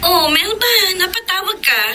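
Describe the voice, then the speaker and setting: An actress playing a young engaged secretary who speaks in an earnest, sweet and trusting way, in a radio drama. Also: female voice, very high-pitched, lively intonation, moderate pace. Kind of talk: classic radio drama acting.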